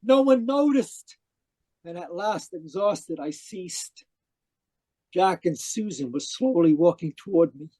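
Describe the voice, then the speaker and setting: A tormented dead man, played by an actor, tells his tale in old radio mystery style. His voice sits fairly high and very soft-toned, halting and dramatic as he pleads with the living. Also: lively intonation, dry recording, heavily acted. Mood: haunted, weary. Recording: live video call performance.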